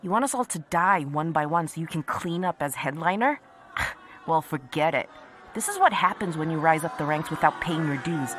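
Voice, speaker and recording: A woman plays a brash, self-important stand-up comic in a comedy audio sketch. Her delivery is scornful and bright, with animated swings in pitch. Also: moderate pace. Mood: indignant. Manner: scornful, forceful.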